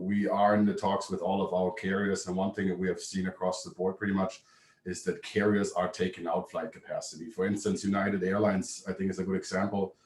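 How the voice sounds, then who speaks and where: Low-voiced german guy explaining freight stuff, roomy echo, mic kinda far off. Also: darkish tone. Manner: brisk, matter-of-fact explaining. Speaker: webinar presenter. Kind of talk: business presentation. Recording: echoey room, distant mic.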